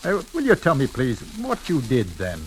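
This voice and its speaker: An actor playing a methodical, politely probing police inspector in an old radio drama, with deliberate questioning. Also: male voice, mid-range voice, huge pitch swings. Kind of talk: 1940s radio drama acting. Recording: old radio recording, faint hiss.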